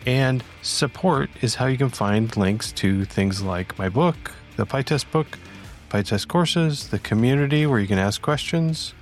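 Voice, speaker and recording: A man's voice: medium pitch, unhurried, soft background music. A man hosting a podcast, curious and conversational as he introduces the episode and asks questions. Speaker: podcast host.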